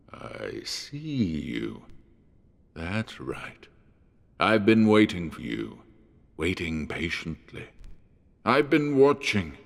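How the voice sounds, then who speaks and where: A man voicing a menacing, taunting supernatural stalker with a creaky, drawn-out, sinister delivery, heard in long cavernous reverb in an audio drama. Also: very deep voice, pitched below the performer's own voice, full character voice. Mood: gloating menace. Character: menacing, taunting male supernatural stalker speaking over radio.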